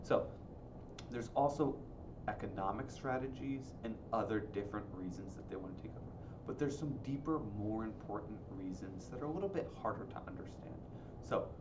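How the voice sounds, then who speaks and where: Patient male teacher, distant mic. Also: on the low side. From Ukraine, raised in the United States. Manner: plain, patient explaining with frequent "um" and "so". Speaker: middle school social studies teacher. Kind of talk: explanatory lesson for students. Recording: distant mic with clear background noise.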